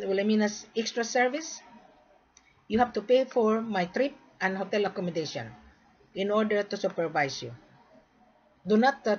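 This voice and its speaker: A woman from the Philippines making a repetitive, insistent sales pitch, lecturing the listener in a direct-to-camera talk. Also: slightly rough. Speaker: gold sales marketer. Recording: dull lo-fi recording.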